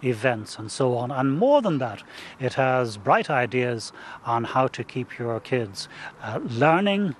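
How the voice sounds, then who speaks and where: Male presenter, scripted link, mid pitch, faint background noise. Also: sing-song, unhurried. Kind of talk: scripted TV introduction.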